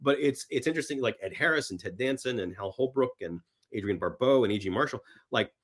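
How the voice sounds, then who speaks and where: A man chatting casually and enthusiastically as a podcast guest about films, halting and rambling, sometimes voicing imagined reactions. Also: medium pitch, expressive. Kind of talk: casual film talk in an interview.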